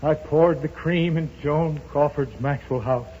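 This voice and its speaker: A man's voice: a little high, measured pace, dark tone. A man trading scripted lines in 1930s radio comedy, heard on an old broadcast recording. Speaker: performer on a radio variety show. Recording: muffled, reverberant, noisy old radio recording.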